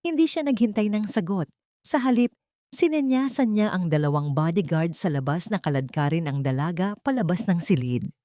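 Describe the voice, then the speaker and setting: A woman's voice: lowish voice, lively intonation, normal speed, mellow, no echo, studio quality. A woman reading a scripted audiobook story as a dramatic narrator.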